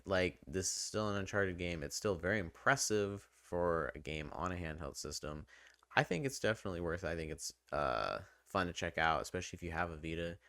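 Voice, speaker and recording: Man, low-pitched, casual game reviewer, informal and wry, dry close sound. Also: a bit bright, dry recording.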